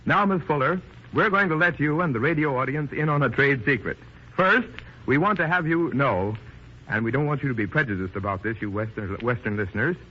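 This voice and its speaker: A man's voice: medium pitch, lively intonation, brisk, breathy edge, lo-fi sound. A man presenting on 1930s radio as announcer and host, with a formal showman's delivery, on an old band-limited recording.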